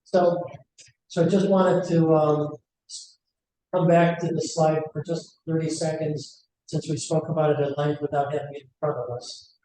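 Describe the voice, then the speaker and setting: Male company presenter, higher-pitched, hesitant delivery; heavily reverberant recording with noticeable noise. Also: little pitch movement, normal speed. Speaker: company executive presenting earnings call. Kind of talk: investor presentation with slides. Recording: very echoey room, noisy rumbling background.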